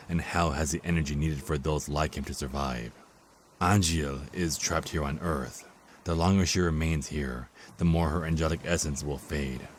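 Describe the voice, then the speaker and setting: A very deep, sneering villainous archangel. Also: male voice, a little hiss or hum. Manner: mocking, sneering, theatrical. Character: cruel, contemptuous male Archangel of Death. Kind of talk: dramatic villain monologue in audio roleplay.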